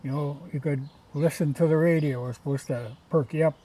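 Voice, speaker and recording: A man with a slightly higher-than-average voice gives a casual, unscripted show-and-tell. He talks in a folksy, chatty way and rambles from one thought to the next like an amateur vlogger. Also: fairly even intonation, a little fast, mellow, poor audio. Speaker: vlogger showing old radios from a junk collection.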